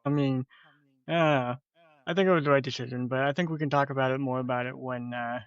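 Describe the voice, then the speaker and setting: A man's voice: medium pitch, steady tone, fairly quick, smooth. Guy on a podcast panel, muffled lo-fi mic, no echo, casual. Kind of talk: casual discussion. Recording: dull lo-fi microphone.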